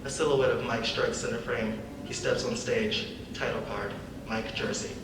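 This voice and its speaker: A man's voice: mid-range voice, steady tone, moderate pace. A young man reading stage directions as scripted narration for a dramatic reading. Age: young adult. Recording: echoey hall from far off, noisy.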